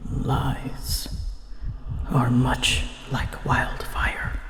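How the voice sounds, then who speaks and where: A woman playing an ancient, proud, wounded female dragon in an ASMR roleplay, speaking in a breathy, often whispered voice with grave, halting pauses. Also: a bass voice, monotone, exaggerated voice. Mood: pained, haunted. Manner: slow, halting, grave storytelling with dramatic pauses. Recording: echoey, long reverb, faint noise.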